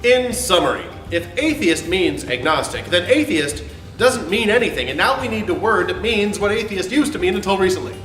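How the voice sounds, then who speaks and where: Guy doing a dramatic sarcastic reading, high voice, roomy off-mic sound w music behind. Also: lively intonation, moderate pace, a bit bright, recorded from a distance. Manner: theatrical, emphatic, sarcastic delivery. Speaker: host reading his own essay. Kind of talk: dramatic reading of an argumentative script.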